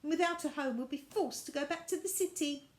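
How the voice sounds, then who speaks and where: A woman's voice: high voice, brisk, echoey room, pitched above the performer's own voice, natural voice. A woman voicing an anxious, squeamish young girl in a children's story, dramatic and given to exclaiming. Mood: uneasy, polite.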